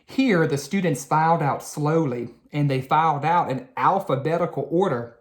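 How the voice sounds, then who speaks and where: A woman reading a children's picture book aloud like a teacher, with expressive, deliberate storytelling. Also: deep voice.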